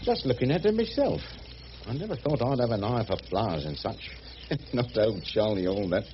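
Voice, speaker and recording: A muffled, noisy radio drama recording of a humble riverboat man, played by a male actor. His lowish voice rises and dips freely as he talks in a halting, homely, deferential way. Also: lively intonation, close to an everyday voice. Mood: gruff, bashful. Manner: colloquial, halting, deferential, calling his companion miss. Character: rough, humble, good-hearted working-class riverboat man.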